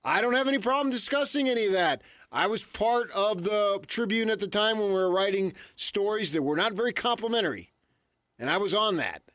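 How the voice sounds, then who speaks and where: A man's voice: sits high, lively intonation, a little fast, a bit bright, no room sound. A man co-hosting sports talk radio, arguing opinions emphatically and repeating his points.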